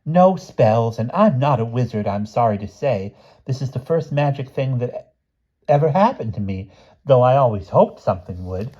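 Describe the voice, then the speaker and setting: A man voicing a small, mild, absent-minded gentleman in a storybook, with polite, measured, old-fashioned phrasing. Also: mid-range voice, sing-song, highs cut off, voice pushed up. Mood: curious, mild.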